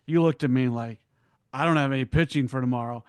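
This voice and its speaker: A man's voice: mid-range voice, fast talker, a little mellow, smooth, no room sound. A man who works as a broadcaster, telling anecdotes casually as a podcast guest.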